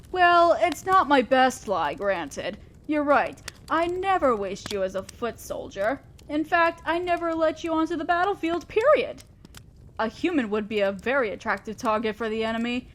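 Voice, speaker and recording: Woman voicing a demon lord lady boss, high pitched, formal but teasing, audio drama style, bit of noise behind it. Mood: persuasive, reassuring. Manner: measured, formal, addressing an underling directly. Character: commanding female demon lord, fair-minded, ambitious, slyly teasing boss. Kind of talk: scripted roleplay audio drama.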